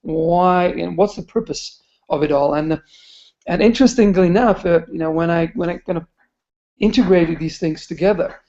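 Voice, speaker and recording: A man's voice: on the high side, moderate pace, darkish tone. A man sharing a personal story on a webinar, hesitant and searching for words. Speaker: webinar participant. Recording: muffled webinar call audio.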